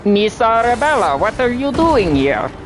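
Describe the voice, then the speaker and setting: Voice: mid-range voice, sing-song, unhurried, pitched above the performer's own voice. A streamer voicing a refined feminine lady from a fantasy game, dainty and theatrical, with music behind. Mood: surprised, coquettish. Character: refined feminine lady character, Arabella, sweetly surprised. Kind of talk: game character impression.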